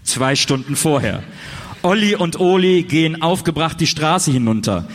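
A man's voice: a little high, expressive, moderate pace. A man narrating a comic radio play in a scripted, mock-dramatic storytelling manner.

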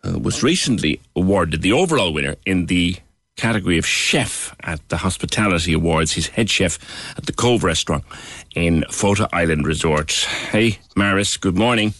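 A man presents a casual radio chat and keeps his guest talking. His fairly low voice moves up and down a lot. He is chatty and encouraging, quick with asides, and the sound is close and dry. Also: expressive, fairly bright tone, no room sound.

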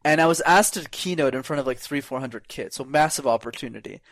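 A young man talking as a speaking coach in a podcast conversation, energetic and acting out quoted voices, with casual words like "man" and "brother". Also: mid-range voice, a little fast, no echo. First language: not English; speaks French as second language.

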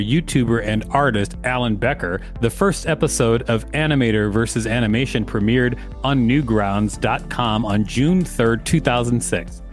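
A man co-hosting a podcast, chatting eagerly and reading an encyclopedia article aloud. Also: medium pitch. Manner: eager, easygoing. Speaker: podcast co-host. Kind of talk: casual reading and chat.

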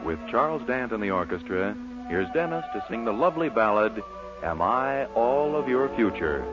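A man's voice: on the low side, big pitch swings, moderate pace, loud music behind the voice. An American man announcing on a 1940s radio show with polished, emphatic scripted salesmanship. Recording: muffled 1940s radio broadcast recording.

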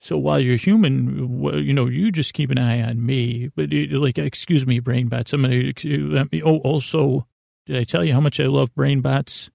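A man hosting a bedtime podcast in a meandering, halting, self-deprecating monologue with a whimsical streak. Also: medium pitch, fairly even intonation, creaky, darkish tone, no echo, studio quality. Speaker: host of a bedtime sleep podcast.

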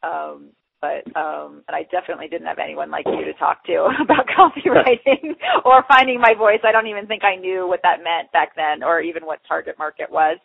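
A woman's voice: on the low side, vocal fry, slightly rough, no echo. A woman podcast host talking in a rambling, chatty way with frequent laughs and fillers. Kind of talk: casual interview chat. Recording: tinny phone-like line.

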